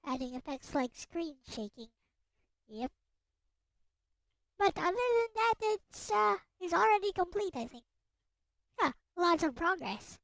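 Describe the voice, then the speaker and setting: A woman livestreamer chatting casually as she works, halting and thinking aloud, often muttering and repeating short phrases. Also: extremely high pitch, very animated, vocal fry, a bit bright, no room sound. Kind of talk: casual livestream chat while working. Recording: music playing behind the voice. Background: background music.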